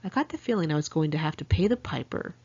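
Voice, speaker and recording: Low-pitched American woman reading her memoir. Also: expressive, fast talker, darkish tone, slightly rough, dull audio. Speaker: author reading own memoir. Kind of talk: first-person audiobook narration.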